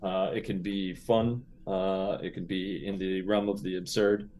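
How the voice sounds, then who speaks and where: A man's voice: sits low, flat delivery, a little slow, darkish tone. A middle-aged man playing a tabletop role-playing game, chatting casually and thinking through ideas in a playful way.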